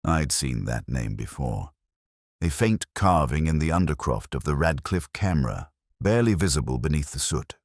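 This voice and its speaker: Very deep-voiced man narrating a detective story. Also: animated, no echo, clean studio sound. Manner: measured, literary, solemn delivery. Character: world-weary, brooding male police inspector narrating. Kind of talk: first-person scripted detective story narration.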